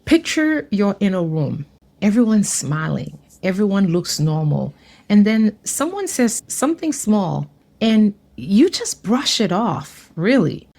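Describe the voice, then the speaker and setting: A woman from Haiti giving an earnest, measured personal testimony. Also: medium pitch, sing-song, gravelly, a little mellow.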